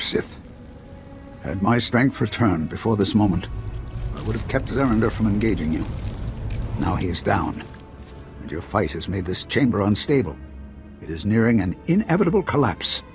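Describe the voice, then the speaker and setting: Serene, aloof mystic master for a game: low voice, formal and philosophical, music underneath. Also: male voice, sing-song, moderate pace, pauses often. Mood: urgent, pleading. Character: serene, aloof Jedi master, transcendent and proud. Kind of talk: video game voice acting.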